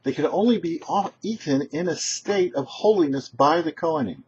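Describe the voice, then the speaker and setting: A man, a rabbi hosting a short religious teaching, speaking emphatically and deliberately with dramatic pauses. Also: a little high, animated, a little mellow, slightly rough. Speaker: rabbi, show host. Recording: muffled, dull lo-fi sound.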